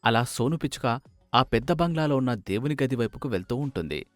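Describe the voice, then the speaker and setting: A man's voice: medium pitch, expressive, a little fast, dry recording, faint music behind. A man narrating a scripted children's cartoon story as its storyteller.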